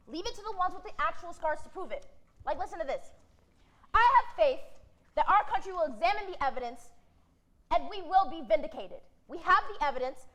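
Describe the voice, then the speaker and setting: A woman's voice: extremely high pitch, fairly bright tone. A woman playing a fiery, defiant factory worker with a feisty, urgent manner in a stage drama.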